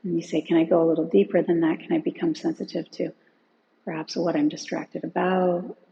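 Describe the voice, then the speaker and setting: A woman teacher leading a guided relaxation in a gentle, unhurried way, leaving long pauses between phrases. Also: on the low side, little pitch movement, gravelly, dull audio. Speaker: meditation and yoga teacher.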